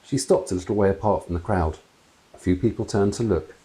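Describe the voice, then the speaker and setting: A man in his fifties reading a children's story aloud in an expressive storytelling style. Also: low-pitched, a little fast, rough recording. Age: 50s. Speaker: author reading own book aloud. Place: small room with wooden door and brick wall. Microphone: clip-on lapel mic.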